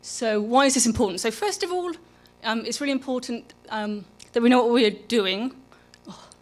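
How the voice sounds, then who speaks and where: A woman giving an informal public lecture into a live event microphone, speaking in a halting, self-interrupting way. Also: a little high, faint music behind. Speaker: public programs manager and lecturer. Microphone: microphone for live streaming.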